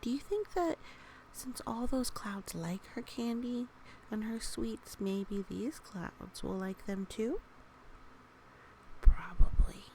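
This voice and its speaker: A woman reading a picture book aloud for young children, with gentle, expressive, chatty asides and questions. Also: a little high, slow, slight background noise, low-quality recording.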